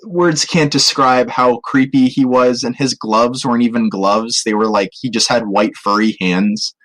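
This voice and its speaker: A man with a mid-pitched voice talks as a podcast co-host reviewing films. He is casual and jokey, with an animated, exclamatory way of putting things. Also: unhurried, rough recording.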